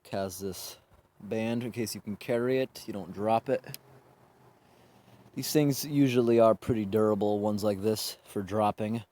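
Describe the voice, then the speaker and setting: A man's voice: lowish voice, unhurried, warm tone. A man casually demonstrating a product in a plain, informal, explaining way. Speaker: gadget reviewer. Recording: noisy handheld amateur recording.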